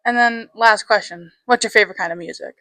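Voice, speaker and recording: A teenage girl working as a student reporter, asking brisk, cheerful interview questions. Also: medium pitch, vocal fry, bright, forceful tone. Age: teens.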